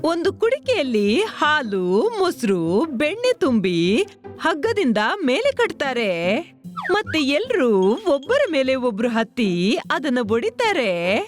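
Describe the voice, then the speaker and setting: A high-voiced cartoon madam, explaining with great emphasis. Her pitch sweeps widely and she takes her time, with music playing softly behind her. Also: wildly swooping pitch, slow pace, full character voice. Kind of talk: children's cartoon dubbing.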